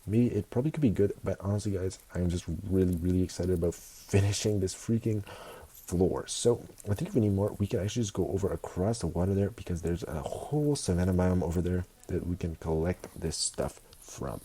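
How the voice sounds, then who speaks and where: A young man with a stuffy nose from a cold, casually narrating his building game, excitable and fond of repeating words. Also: low voice, brisk, breathy edge. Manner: excitable, repeats words, keeps saying guys. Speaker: gaming video host. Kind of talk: casual Minecraft commentary.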